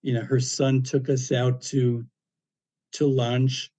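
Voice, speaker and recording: A man around seventy, a podcast guest, telling a personal story in a relaxed, anecdotal way with frequent "you know" fillers and dry humor. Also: medium pitch, slow. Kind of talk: casual storytelling interview.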